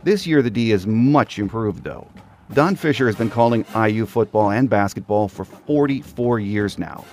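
A man's voice: lowish voice, moderate pace, a little mellow, faint music behind. A man hosting a sports podcast, presenting commentary and interviewing a guest. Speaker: podcast host.

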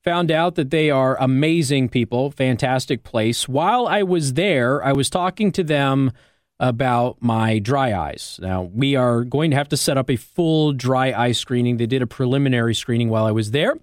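Casting: American male radio host, mid-range voice, conversational but emphatic, pausing for effect, tight dry studio mic. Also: mid-range voice, no room sound. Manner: conversational, emphatic, with rhetorical questions and pauses for effect. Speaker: radio talk show host. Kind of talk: conservative talk radio monologue with host-read ads. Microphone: close broadcast microphone.

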